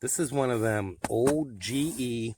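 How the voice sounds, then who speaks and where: A man's voice: medium pitch, measured pace, noisy background. A middle-aged man talking casually and plainly, like an informal hobby vlogger showing off his gear. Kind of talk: casual vlog talk.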